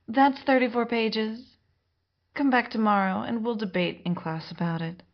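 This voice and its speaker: A woman's voice: fairly high pitch, steady tone, moderate pace, natural voice. A woman playing a demanding, overeager schoolteacher handing out work to her class. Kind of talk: amateur audio drama acting. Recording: muffled lo-fi recording.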